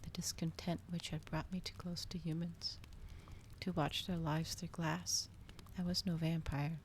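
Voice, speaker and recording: A woman doing a soft, whispered ASMR reading as a streamer, slow and breathy, in a hushed manner. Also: low-pitched, little pitch movement, slight background noise. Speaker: streamer host reading aloud.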